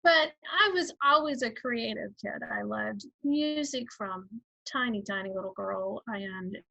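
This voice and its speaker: A middle-aged woman from Georgia speaks with a clear Georgia Southern accent as a guest on a video call. Her voice sits a little high and sounds bright and forward. The sound is dry and close, and she talks in a chatty way with quick asides. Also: no echo, highs cut off. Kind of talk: casual interview over video call.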